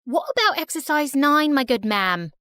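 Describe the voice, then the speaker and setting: A woman puts on a cheeky cartoon teenage girl with a British accent. She is pompously polite, in a high voice that swings widely. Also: very animated, normal speed, pushed and bright, no echo, heavily acted.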